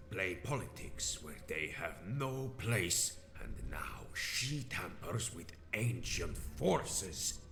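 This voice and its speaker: A man's voice: low-pitched, creaky, fairly bright tone, exaggerated voice. A male actor playing a grandiose, menacing old vampire leader in a video game, theatrical and declamatory, sometimes hissing into whispers. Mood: venomous rage. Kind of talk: dramatic video game voice acting. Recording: echoing voice with music behind.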